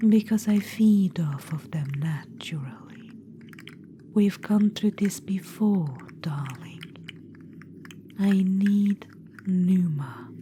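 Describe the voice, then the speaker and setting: A woman voicing a vain undead witch-queen necromancer in an ASMR roleplay, whispering softly and calling the listener darling. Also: on the low side. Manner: soft intimate whisper, calls listener darling; smug, boastful, self-satisfied. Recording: whisper with steady background noise.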